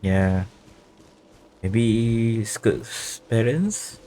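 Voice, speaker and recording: Low, expressive male streamer. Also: extremely slow, a little hiss or hum. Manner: musing, thinking aloud. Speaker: game streamer. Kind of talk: casual reaction commentary over gameplay. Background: game music.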